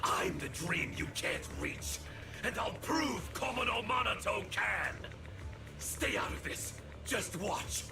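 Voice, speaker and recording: A man playing a brash, proud young swordsman in a video game, gravelly and cocky, with an intense, taunting delivery. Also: high-pitched, very animated, slow, music in the background, exaggerated voice. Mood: furious, taunting. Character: brash, proud young male swordsman rival, stubborn and aggressive.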